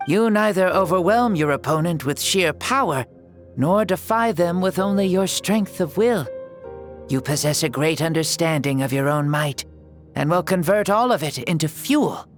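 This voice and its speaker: A man playing a noble, ancient warrior leader in a video game, speaking in formal, measured oratory with a youthful spirit. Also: on the high side, expressive, natural voice. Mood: solemn, admiring. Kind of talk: dramatic video game voice acting. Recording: music behind the voice.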